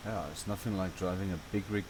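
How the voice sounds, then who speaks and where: A man with a South African accent, a native Afrikaans speaker, giving a relaxed, rambling gameplay tutorial with casual asides. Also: low-pitched, fast, noisy background. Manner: relaxed, rambling and chatty, with fillers and light grumbling. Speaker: gaming channel host.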